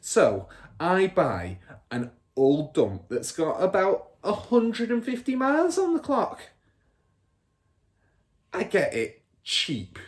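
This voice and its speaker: A man's voice: on the high side, voice pushed up, full character voice. A man voicing a boastful, crooked middle-aged car dealer and rude father in a storybook, bragging and scornful with heavy emphasis.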